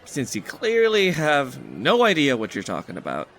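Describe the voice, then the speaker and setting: A man's voice: a little high, wildly swooping pitch, moderate pace, gravelly, fairly bright tone, soft background music, full character voice. A man playing a distrustful, challenging boy who protects his friends, in an audio drama. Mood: suspicious. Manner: suspicious, challenging.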